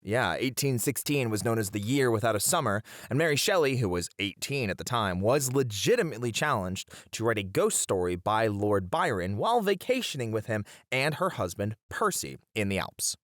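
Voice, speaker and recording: A man's voice: fairly low pitch, big pitch swings, normal speed, few pauses, a bit bright, no room sound, studio quality. A man playing a flamboyant literature teacher in a scripted ASMR roleplay, speaking in a playful, flirtatious, theatrical way. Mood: dramatic, enthusiastic. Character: flamboyant, teasing, dramatic male literature teacher.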